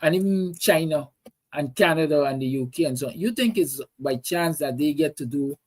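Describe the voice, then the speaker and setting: A man's voice: fairly high pitch, darkish tone, slightly rough. A male talk show host with a Guyanese accent holds forth in a political monologue. He is emphatic and opinionated, driving points home with repeated phrases and rhetorical questions.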